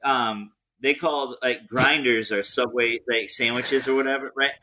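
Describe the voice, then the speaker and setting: Bright, forceful-voiced American man. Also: mid-range voice, fairly even intonation, normal speed, slight background noise. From New Hampshire, United States. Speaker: podcast co-host. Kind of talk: casual chat.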